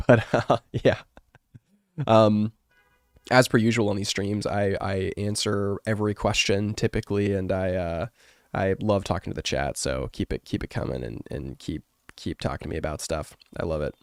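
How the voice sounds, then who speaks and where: A man getting over a cold, with a rough, congested voice, chatting casually and rambling with many fillers as an artist streaming to his viewers. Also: sits low, steady tone, moderate pace, no room sound, clean studio sound. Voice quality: rough, wrecked voice. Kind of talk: casual live-stream chat.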